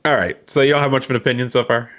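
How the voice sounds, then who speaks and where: A man co-hosting a podcast, laughing often and talking in a halting way with fillers. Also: mid-range voice, steady tone, fairly bright tone. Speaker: podcast co-host. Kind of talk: casual banter and storytelling.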